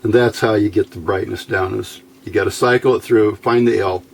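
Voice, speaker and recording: A man's voice: fairly low pitch, steady tone, fairly quick, gravelly, a little hiss or hum. A man giving a casual, unscripted hands-on demonstration, explaining step by step in a plain, folksy way. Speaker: hobbyist presenter demonstrating electronics test equipment.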